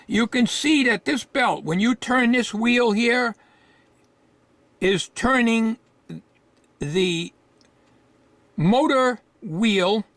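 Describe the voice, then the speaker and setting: A man giving an informal, unscripted hands-on repair demonstration, thinking aloud in a halting way. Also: very high-pitched, a bit bright, no room sound.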